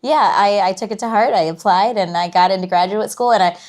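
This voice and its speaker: A woman's voice: mid-range voice, darkish tone. A woman from Southern California with an American accent, chatting casually and readily as an interview guest. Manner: chatty, quick to laugh. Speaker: guest, curator and academic.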